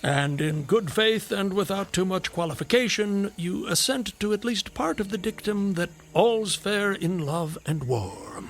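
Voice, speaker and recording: An actor playing a slyly probing, florid and elaborately polite traveling companion in a radio drama, with a raspy, creaky voice. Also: male voice, high voice, expressive, unhurried, few pauses, a little hiss or hum.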